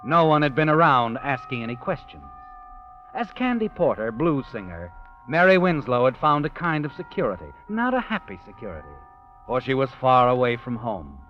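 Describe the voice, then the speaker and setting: An actor playing a knowing, ominous storyteller, narrating a 1940s radio suspense play in a theatrical, insinuating voice. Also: male voice, mid-range voice, sing-song, moderate pace, natural voice. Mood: brooding. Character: ominous, knowing male storyteller who walks by night. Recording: muffled 1940s radio, music behind.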